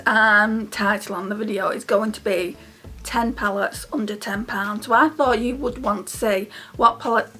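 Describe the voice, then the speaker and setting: A woman's voice: medium pitch, fairly bright tone. A British woman talking casually to her viewers as a beauty vlogger, in a rambling, chatty way. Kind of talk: casual chatty makeup vlog.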